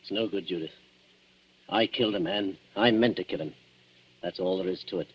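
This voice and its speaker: A man's voice: low voice, brisk, a little hiss or hum, natural voice. An actor playing a nervous, guilty man, stammering and defensive, in a 1940s radio thriller. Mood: resigned. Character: nervous, guilty man shielding the woman he loves. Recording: dull 1940s radio broadcast.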